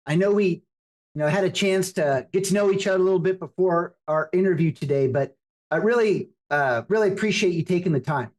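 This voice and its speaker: A man's voice: high-pitched, fairly quick, darkish tone, echoey room. A man hosting a podcast, reading a scripted introduction and then asking interview questions with emphatic delivery. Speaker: podcast host.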